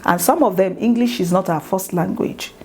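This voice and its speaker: A woman from Nigeria with a Nigerian accent, speaking earnestly about her charity's work in a measured way, with some fillers and restarts. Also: medium pitch, a little mellow, a little hiss or hum. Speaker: charity founder. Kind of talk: promotional talk to camera.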